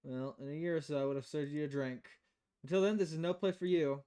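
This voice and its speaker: Woman doing a low, deadpan female bartender. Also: clear, resonant tone, lightly acted. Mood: stern. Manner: deadpan, blunt, measured. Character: dry, stern, world-weary female bartender.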